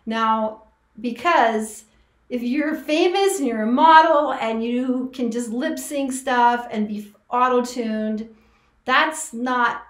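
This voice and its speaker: A middle-aged woman, a voice teacher, reacting to an old clip in chatty commentary that restarts and corrects itself. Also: fairly high pitch. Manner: chatty, self-correcting, emphatic. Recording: echoey room.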